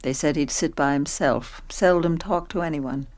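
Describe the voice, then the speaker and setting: A woman's voice: sits low, normal speed, darkish tone, close to an everyday voice. An actress playing a sorrowful woman who narrates her own life in a radio play, reflective and resigned.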